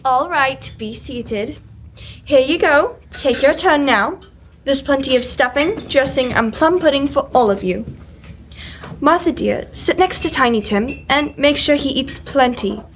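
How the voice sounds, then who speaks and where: A woman's voice: high-pitched, expressive, measured pace, slight background noise. A young woman playing a caring, bustling mother in an old-time radio drama. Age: teens.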